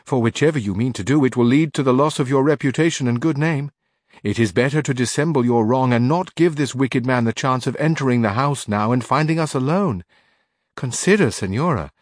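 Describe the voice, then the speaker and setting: Guy doing a sly young maid, high pleading voice, dry sound. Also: slightly rough, no room sound. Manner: pleading, melodramatic. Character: crafty young female maidservant, wheedling.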